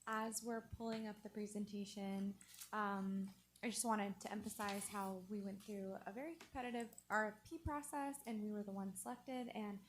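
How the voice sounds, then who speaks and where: A soft-voiced woman at middle pitch opens a presentation to a city council, polite and thankful. Faint room noise is heard behind her. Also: steady tone, moderate pace. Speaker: planning consultant presenter. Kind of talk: opening a presentation. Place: council chamber.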